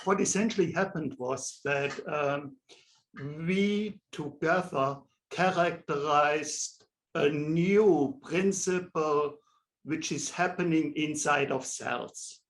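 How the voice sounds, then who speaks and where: A German-born man with a German accent, a professor in his sixties, answering interview questions slowly and deliberately in an office. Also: a little high, rough recording. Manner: slow, deliberate and measured. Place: indoor office with shelves and pictures.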